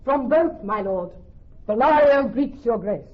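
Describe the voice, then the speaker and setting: An actress playing a composed, eloquent young doctor of law in Shakespearean radio drama, poised and declamatory. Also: female voice, fairly high pitch, expressive, measured pace. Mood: composed, formal. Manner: poised, declamatory, clearly phrased. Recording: slightly muffled old radio recording.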